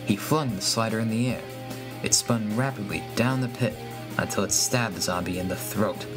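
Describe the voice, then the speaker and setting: A man's voice: lowish voice, normal speed, loud music behind the voice. A man reading a scripted first-person horror story as its narrator.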